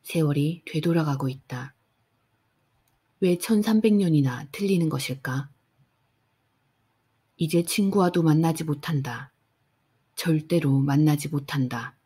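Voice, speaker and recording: Woman narrator, bedtime audiobook, soft, unhurried, deep voice. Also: husky. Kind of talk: calm bedtime audiobook reading.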